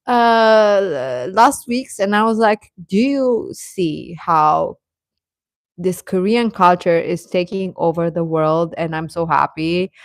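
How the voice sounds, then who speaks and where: A woman hosting a casual fan livestream, rambling and halting as she replies to comments, often with a chuckle in her talk. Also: fairly low pitch, no room sound, clean studio sound. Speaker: host of a K-pop fan channel.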